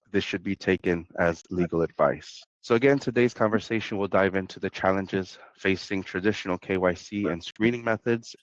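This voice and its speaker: Podcast host, male, nasal from a cold, lowish voice, dry dull lo-fi audio. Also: no room sound. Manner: conversational, some filler and restarts. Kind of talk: podcast interview.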